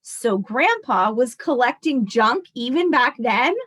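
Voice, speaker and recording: A woman's voice: fairly high pitch, expressive, slow, fairly bright tone, dry recording, clean studio sound, full character voice. A woman voicing a young granddaughter in a storybook, curious and affectionate, speaking plainly and earnestly.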